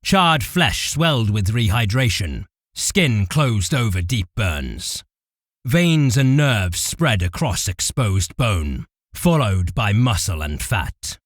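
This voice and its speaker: Lively male narrator, dramatic delivery. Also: lowish voice, slow, no room sound, clean studio sound.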